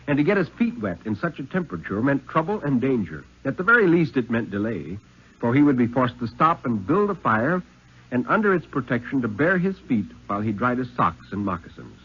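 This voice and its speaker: A man reading a thriller story aloud in a 1950s dramatic radio style, with suspenseful, measured phrasing and deliberate pauses. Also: mid-range voice, very animated, husky. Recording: muffled, lo-fi 1950s radio recording.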